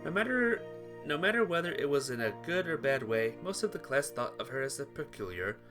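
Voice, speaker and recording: A man's voice: on the high side, big pitch swings, a little fast, over music. A man reading visual novel text aloud during a playthrough, sometimes stumbling and rereading phrases. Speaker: video game player reading aloud.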